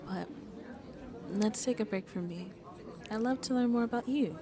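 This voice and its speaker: A woman playing a shy but playfully flirty vampire on a date in an ASMR roleplay, speaking softly and intimately and often slipping into breathy whispers. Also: fairly high pitch, moderate pace, crowd noise, natural voice. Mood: gentle, reassured, then curious, attentive.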